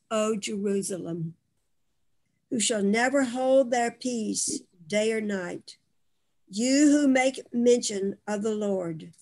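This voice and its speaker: Older woman, medium pitch, slow measured pace, solemn prayer and scripture, video call at home. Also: a little mellow. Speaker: prayer group member. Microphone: video call device mic.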